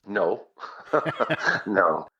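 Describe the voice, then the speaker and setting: A man's voice: low voice, sing-song, very slow, pushed and bright, lo-fi sound. An older man with a Canadian accent, a singer casually reminiscing as an interview guest.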